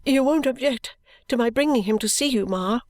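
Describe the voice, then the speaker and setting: A woman's voice: moderate pace, darkish tone, no room sound, natural voice. A woman reader voicing a tearful young woman who sobs and pleads with her mother for consent to marry. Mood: timid asking. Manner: pleading, breathless, high and tearful. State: sobbing.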